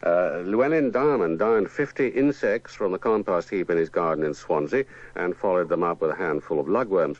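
A man's voice: low-pitched, moderate pace, hardly any pauses. A man reading a news item as a radio newsreader. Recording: dull lo-fi radio recording.

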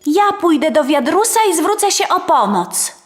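A woman's voice: high voice, sing-song, normal speed, faint music behind, voice pushed up. A woman voicing an earnest small village girl pleading for help in an audio fairy tale. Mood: determined. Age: child figure. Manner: pleading, calling out.

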